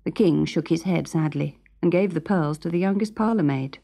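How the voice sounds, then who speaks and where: A woman with a British accent reading a children's story as an expressive narrator. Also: fairly low pitch, normal speed, creaky, music in the background.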